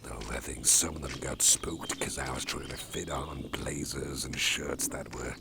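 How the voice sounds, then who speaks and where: A man voicing a huge, intimidating male boss who is gruff but protective, chatting and rambling in an ASMR roleplay with a deep, gravelly voice. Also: steady tone, unhurried, background noise, heavily acted. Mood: easygoing. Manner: rambling, chatty, chuckles to himself. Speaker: boss character carrying the listener. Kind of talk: ASMR roleplay, personal attention.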